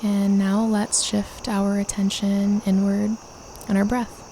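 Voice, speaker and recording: A woman leading a guided meditation, calmly and gently instructing with unhurried pauses. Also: medium pitch, slight background noise, rough recording.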